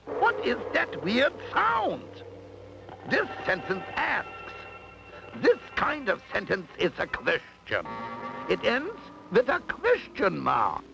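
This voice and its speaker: A man playing an eccentric, excitable cartoon mad scientist professor who lectures in a grandiose, deliberate, dramatic way. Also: high-pitched, vocal fry, music in the background, full character voice, low-quality recording. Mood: grand lecturing.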